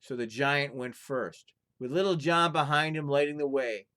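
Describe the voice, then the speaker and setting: A man's voice: medium pitch, moderate pace, pushed and bright, clean tone. A man telling folktales as an informal storyteller, moving between casual chat and narration.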